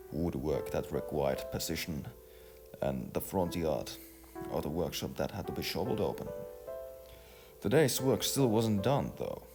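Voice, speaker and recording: A man narrating a scripted story dramatically, in a halting delivery with stumbles and repeated phrases. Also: extremely low pitch. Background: music.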